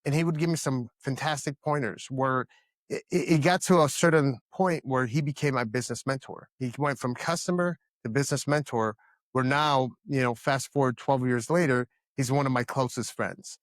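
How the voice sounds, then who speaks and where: A man's voice: mid-range voice, normal speed, no echo. A man in his thirties from the Dominican Republic, answering interview questions in an earnest, emphatic way and often restarting his words. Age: 30s. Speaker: podcast guest, company founder.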